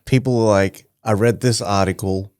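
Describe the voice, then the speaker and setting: A man's voice: on the low side, fairly even intonation, normal speed, a little mellow, clean tone, no echo, clean studio sound. Laughing man leading a podcast. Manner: laughs often, leads the segments. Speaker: podcast co-host. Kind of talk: casual chat.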